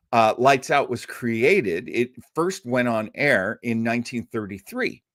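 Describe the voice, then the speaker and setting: Male radio-show host, mid-pitched and animated, conversational, emphatic, given to rambling asides. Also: no room sound, clean studio sound. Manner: enthusiastic, emphatic. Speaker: host of an old-time radio listening show. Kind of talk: casual, chatty introduction to a radio show.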